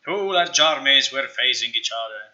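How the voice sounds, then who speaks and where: A man's voice: mid-range voice, expressive, normal speed, bright, forceful tone. A man voicing a grand epic narrator of battles for a fantasy game voiceover, declaiming theatrically. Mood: grand, solemn. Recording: slightly dull, lo-fi stream audio.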